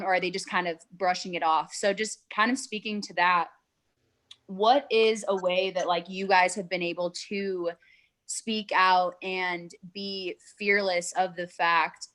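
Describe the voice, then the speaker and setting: Lower-voiced woman moderating a call. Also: fairly bright tone. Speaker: panel moderator. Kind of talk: moderating a panel on a video call. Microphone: video call.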